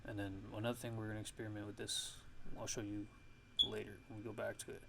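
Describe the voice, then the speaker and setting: Man, gravelly creaky voice, monotone delivery, halting tutorial narration, thinking aloud, noisy recording. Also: lowish voice. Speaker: music production tutorial host. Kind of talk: casual software tutorial narration.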